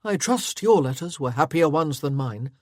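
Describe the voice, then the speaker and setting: Gruff ex-soldier guy, blunt and clipped, low husky voice, lady reading him. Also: expressive, normal speed, dry recording, clean studio sound. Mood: stern. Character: gruff war-hardened male army officer, blunt.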